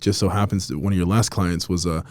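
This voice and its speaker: A man's voice: low voice, steady tone, fast, darkish tone, no echo. A man hosting a casual podcast interview, friendly and hyped, calling his guest bro and dude. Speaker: podcast host.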